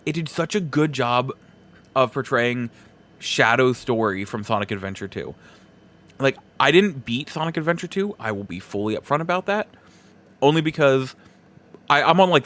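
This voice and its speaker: A man with a mid-pitched, very lively voice hosts a casual solo podcast. He rambles and halts as he thinks aloud, often repeating a phrase for emphasis while his intonation rises and falls widely. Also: normal speed, long pauses. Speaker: podcast host.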